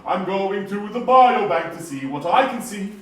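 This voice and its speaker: Brash puppet hero, played by a man: high, eager, melodramatic children's show delivery, distant in a very echoey room. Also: expressive, normal speed. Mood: gleeful. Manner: melodramatic, exclamatory. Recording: roomy, distant live show, faint noise.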